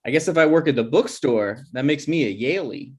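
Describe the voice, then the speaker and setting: A man's voice: a little high, animated, a little fast, a little mellow, breathy edge. Man playing an awkward young grad student. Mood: casual. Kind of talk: table read of a comedy pilot script.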